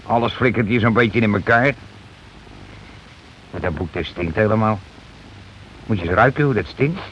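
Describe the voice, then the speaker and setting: Man acting a gruff working-class husband, low voice, bossy, grumbling, coarse colloquial speech, radio play, dull noisy old recording. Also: moderate pace, a bit bright, lightly acted. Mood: grumbling, matter-of-fact. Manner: nagging, swearing, impatient.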